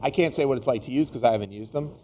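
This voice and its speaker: A man with a medium-pitched voice hosts a loose tech chat. He sounds tired and under the weather, and he rambles and interrupts himself with some exasperation. Clear ambient noise sits behind him. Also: racing, darkish tone. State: tired, getting sick, losing his voice. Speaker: tech show host. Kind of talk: casual unscripted tech discussion.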